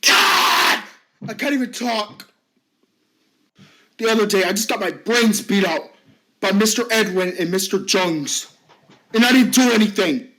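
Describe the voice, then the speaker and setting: A man's voice: high-pitched, a little slow, long pauses, pitched above the performer's own voice. A man doing a shrieking tearful middle-aged man. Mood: distraught. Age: 50s. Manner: shouting, voice cracking into sobs. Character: bullied fifty-year-old man, desperate and tearful. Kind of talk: amateur drama acting.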